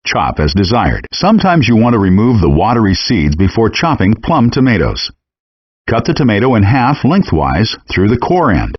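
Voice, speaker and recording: A man narrating scripted cooking instructions for a game in a measured, step-by-step way, in muffled, compressed handheld game audio. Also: fairly low pitch, slightly rough.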